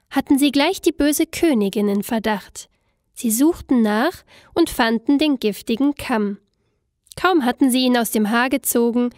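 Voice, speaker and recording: A woman narrating a scripted fairy tale in an expressive, deliberate storytelling manner. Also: fairly high pitch, dry recording, studio quality.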